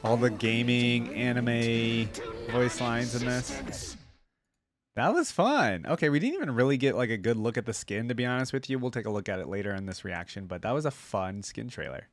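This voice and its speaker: A man's voice: mid-range voice, expressive, normal speed. A man, giving casual game reaction commentary close to a desk mic. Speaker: reaction video host. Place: small indoor room, gaming chair. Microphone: close desk microphone on boom arm. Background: game trailer music.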